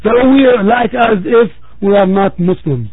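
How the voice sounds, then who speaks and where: A man giving a religious talk to a congregation as preacher, measured and repeating phrases for emphasis. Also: high voice, breathy edge, dry recording. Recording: tinny phone-like, dry sound.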